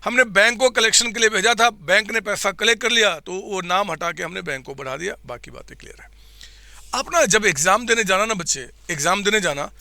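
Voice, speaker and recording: A man teaching accounts in a live online class, with a slightly hoarse throat, quizzing his students and answering for them in a coaxing way. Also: sits high, a little fast, bright, forceful tone, dry recording.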